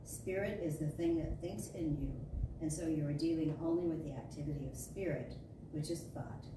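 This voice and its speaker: A woman giving an emphatic, declarative reading of a spiritual sermon, with deliberate phrasing. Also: low-pitched. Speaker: storyteller reading a spiritual talk. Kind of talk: dramatic reading of a metaphysical sermon. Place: large indoor spiritual center room. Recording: echoey hall from far off, noisy.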